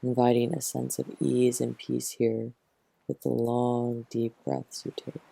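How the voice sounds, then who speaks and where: A man leads a guided relaxation with heavy vocal fry and a soft, mellow tone. His delivery is very slow and soothing, with gentle instructions given close to the mic. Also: mid-range voice, level tone, low-quality recording. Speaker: yoga nidra teacher.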